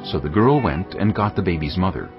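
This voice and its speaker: A deep-voiced man reads scripture in a measured, solemn delivery. His very low voice moves widely in pitch. Soft music plays under a slightly dull recording. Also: expressive. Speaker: narrator. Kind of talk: scripted Bible narration.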